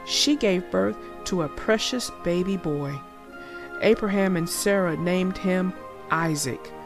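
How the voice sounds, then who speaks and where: Woman, children's storybook narrator, slow pace, expressive delivery, music underneath. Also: on the low side. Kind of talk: scripted children's read-aloud storytelling.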